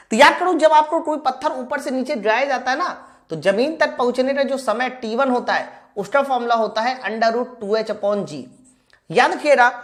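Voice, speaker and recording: Woman, fairly high voice, teacher, step-by-step explaining, question-and-answer delivery, repetitive emphasis, informal address. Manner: asks questions and answers them herself, repeats key lines; informal, addresses students directly. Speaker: physics teacher for exam preparation. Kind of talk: live online class, explaining problems step by step.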